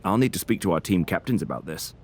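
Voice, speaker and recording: A man's voice: sits low, lively intonation, quick pace, a little mellow, slightly rough. A man playing a placating wrestling general manager, speaking formally and a little floridly in a video game cutscene. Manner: formal, diplomatic, somewhat florid.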